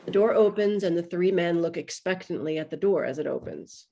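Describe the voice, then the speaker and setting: A woman's voice: fairly low pitch, lively intonation, fairly quick, gravelly, dark tone. A woman giving scripted narration, reading stage directions for a table read.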